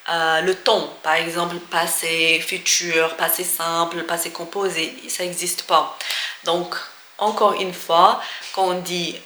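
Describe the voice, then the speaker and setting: A woman's voice: lowish voice, level tone, slow pace, sharp tone. A young woman with an Algerian accent talking casually and informally to camera as an educational vlogger, mixing Arabic into her speech. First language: Arabic and French. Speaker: vlogger giving language tips. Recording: echoey room with faint noise.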